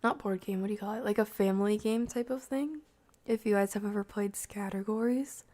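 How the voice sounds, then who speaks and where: A woman's voice: medium pitch, fairly even intonation, a little fast, mellow. A woman game streamer chatting casually through live gameplay with wry, rambling asides.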